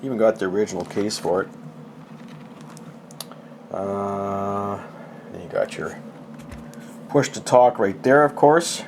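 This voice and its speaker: Middle-aged man, lowish voice, casual gadget demo, folksy and rambling, slight background noise. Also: slow pace, pauses often. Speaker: hobbyist presenter. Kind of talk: casual show-and-tell demonstration of gadgets.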